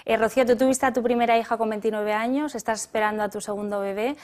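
A woman hosting an interview, asking brisk, leading questions. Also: fairly high pitch, steady tone, darkish tone. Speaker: interview host.

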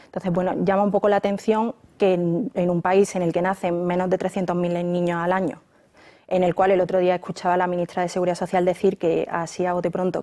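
A woman around thirty, a pregnant journalist, answering interview questions in a thoughtful way, with many pauses and restarts. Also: lowish voice, darkish tone. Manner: thoughtful, with frequent fillers and restarts.

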